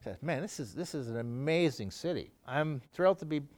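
A man's voice: fairly high pitch, lively intonation, brisk, darkish tone. Rambling middle-aged man, easygoing. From Canada. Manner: rambling, full of fillers and restarts. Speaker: donor interviewee. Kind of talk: conversational interview.

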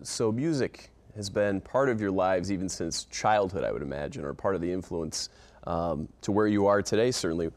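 A man hosting a community podcast, reading a scripted intro and then interviewing guests in a friendly, measured way. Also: low-pitched, a little mellow. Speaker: podcast host.

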